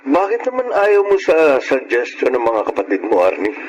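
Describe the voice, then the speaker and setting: A man's voice: medium pitch, huge pitch swings, moderate pace, lo-fi sound, slight background noise. An actor playing a teasing, persuasive Filipino man, a friend who nudges jokingly, in radio drama style. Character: teasing, persuasive male taxi driver friend.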